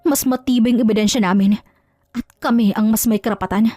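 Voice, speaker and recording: A woman's voice: fairly high pitch, fairly even intonation, a little fast, mellow, dry recording, natural voice. A woman voicing a cold, powerful male company boss who speaks in a cutting, commanding way. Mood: firm. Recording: dry, faint music underneath.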